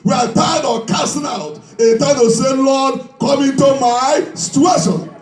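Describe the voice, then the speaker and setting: A man delivers a fervent call-and-response sermon in an extremely high register. He repeats his phrases with heavy emphasis and prompts for replies. The sound is echoey and distant, with music behind him. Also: fairly even intonation, measured pace. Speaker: preacher. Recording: echoey hall PA, distant mic, background music.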